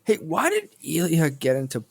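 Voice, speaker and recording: A man's voice: a little high, wildly swooping pitch, moderate pace, vocal fry, slightly rough. A man co-hosting a podcast in casual chat, playful and steering the talk with questions. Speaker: podcast co-host. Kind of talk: casual film-discussion chat.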